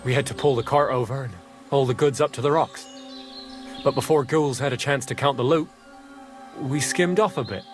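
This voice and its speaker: An actor playing a cornered, cheeky medieval thief in a video game, with rough, colloquial, hedging speech. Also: male voice, medium pitch, brisk, faint music behind, natural voice. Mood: bargaining. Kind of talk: video game drama acting.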